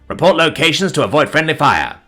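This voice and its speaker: Man acting forceful military officer reporting in, music behind. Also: on the high side, sing-song, fairly quick, voice pushed up, heavily acted. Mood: bold, commanding. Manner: clipped, forceful, projected. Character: commanding male military officers reporting in by radio.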